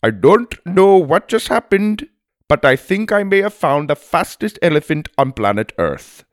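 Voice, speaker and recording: A man's voice: fairly high pitch, sing-song, measured pace, no room sound, studio quality, voice pushed up. A man voicing a cheerful, proud, scolding Indian elephant rider in a children's audiobook, with emphatic, theatrical delivery. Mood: delighted.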